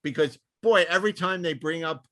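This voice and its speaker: A man's voice: fairly high pitch, fairly quick, pushed and bright, no echo, studio quality. A man hosting a podcast, talking through and reading out notes in a casual panel discussion. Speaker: podcast host.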